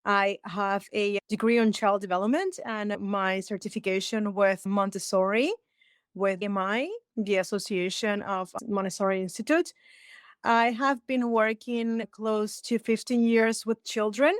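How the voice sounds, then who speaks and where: Smooth-voiced woman with a Peruvian accent. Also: mid-range voice, steady tone, unhurried, no room sound, clean studio sound. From Peru. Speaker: interview guest, Montessori educator. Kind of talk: explanatory interview talk.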